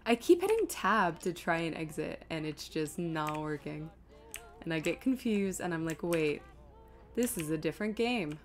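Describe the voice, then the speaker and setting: A woman's voice: low-pitched, sing-song, normal speed, soft background music. A woman giving casual live commentary as she streams a video game, muttering to herself in an exasperated, self-deprecating way.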